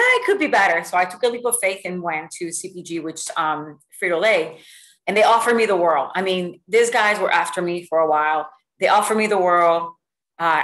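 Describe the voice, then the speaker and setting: A middle-aged woman from Puerto Rico giving a lively, motivational talk to her sales team over a video call, full of stories and fillers. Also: mid-range voice, poor audio. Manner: lively, animated storytelling with many fillers. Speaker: sales executive speaking to a team. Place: home room with bookshelves. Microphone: video call webcam.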